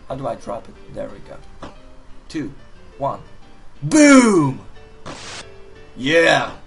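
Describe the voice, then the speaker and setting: Slow-talking man narrating his gameplay. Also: a little high, gravelly. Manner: animated, reads on-screen hints aloud, jokey outbursts. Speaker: gaming video host. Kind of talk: casual let's-play commentary.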